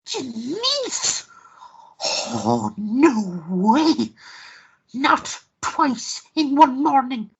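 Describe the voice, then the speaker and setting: A woman acts out an amorous, melodramatic lover in a radio play. Her high voice swoops through slow, drawn-out sighs and coos, on a slightly muffled recording. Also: very animated, gravelly, husky, full character voice. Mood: possessive, indignant.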